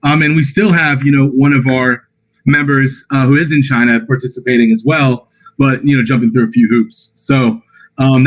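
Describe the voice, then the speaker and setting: Tired man, slightly raspy, casual Q&A answers over a voice call, lots of fillers. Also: medium pitch, poor audio. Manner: relaxed, reads out questions and answers them with many fillers. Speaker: project representative answering community questions. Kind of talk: casual Q&A on a voice chat.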